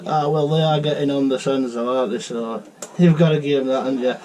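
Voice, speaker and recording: A man with a South Yorkshire accent chatting casually and haltingly as a radio operator, full of technical jargon. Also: medium pitch, steady tone, a little mellow, a little hiss or hum, poor audio.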